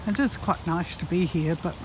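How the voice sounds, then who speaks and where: A woman in her sixties giving a reflective, halting monologue to herself and her viewers as she walks outdoors. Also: lowish voice, low-quality recording. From Netherlands and New Zealand. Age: 60s. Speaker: vlogger. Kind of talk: reflective walking vlog monologue. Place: outdoors, walking in a park.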